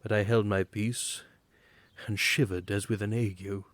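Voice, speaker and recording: A man's voice: lowish voice, fairly even intonation, unhurried, a bit bright, dry recording. A man giving a grave, theatrical reading of a literary story as an audiobook narrator. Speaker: audiobook reader. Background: background music.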